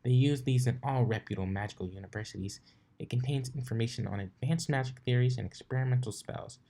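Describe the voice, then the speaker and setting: Man voicing a fussy, bookish young unicorn mare, pitched up. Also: quick pace, mellow, natural voice. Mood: cautious, earnest.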